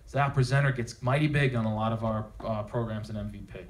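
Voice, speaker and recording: A man's voice: lowish voice, steady tone, quick pace. Man giving an informal, emphatic tech talk. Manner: emphatic, rhetorical questions, informal. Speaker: conference speaker. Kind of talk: technical presentation to a live audience. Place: theater at a developer meetup.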